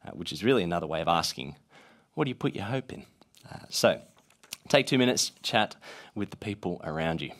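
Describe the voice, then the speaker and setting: A man with a gravelly voice from being a bit sick, leading a casual talk to a group with many "uh" fillers. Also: low voice, brisk, long pauses, slight background noise. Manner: many "uh" fillers, lively rising emphasis.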